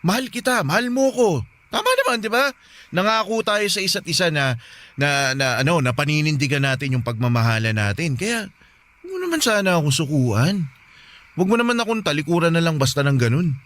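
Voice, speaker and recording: A man's voice: on the high side, expressive, a little fast, fairly bright tone. An actor playing a persistent lovestruck man, insistent and stammering with emotion in a Filipino radio romance drama. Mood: hurt, pleading. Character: persistent, pleading lovestruck man courting his sister-in-law.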